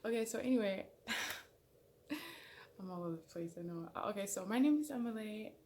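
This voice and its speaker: A woman's voice: mid-range voice, lively intonation, moderate pace, a little mellow, a little hiss or hum. A young woman from Somalia chatting to camera as a channel host, rambling and self-conscious with many fillers and restarts. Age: young adult. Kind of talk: casual chat to camera. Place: small indoor room. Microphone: camera in front, head and shoulders framing.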